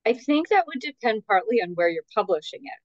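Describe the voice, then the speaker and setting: A woman answering as an expert guest in a conversational, measured and tentative way, qualifying her points. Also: a little high, very animated, no echo, highs cut off.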